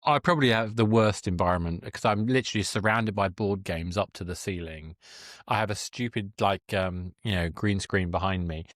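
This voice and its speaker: A man's voice: low-pitched, normal speed, a bit bright, no echo, studio quality. A man hosting a casual interview, with rambling, tentative questions. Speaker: podcast host, interviewer. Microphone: directional mic in a home room.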